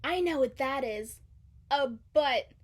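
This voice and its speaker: A woman's voice: high-pitched, slow pace, slightly rough, exaggerated voice. A woman voicing a cheeky young male fish in a storybook, teasing and daring.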